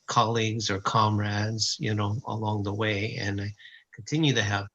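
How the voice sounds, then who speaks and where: A man on an arts panel giving advice, reflective and pausing often with uhs and ums. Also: on the low side, little pitch movement, bright, forceful tone, muffled recording. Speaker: artist panelist. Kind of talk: panel answer.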